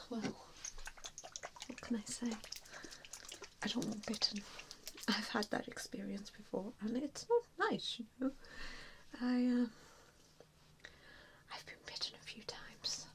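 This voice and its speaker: A woman's voice: fairly high pitch, a little hiss or hum. A woman voicing a kindly, apologetic vampire in a whispered ASMR roleplay, hesitant and reassuring as she tends to a patient. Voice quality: soft breathy whisper. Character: nice, apologetic female vampire tending a newly bitten patient.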